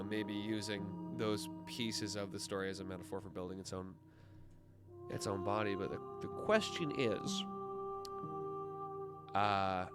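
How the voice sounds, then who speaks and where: A man live-streaming a video game with casual, sweary, irreverent commentary. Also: on the low side.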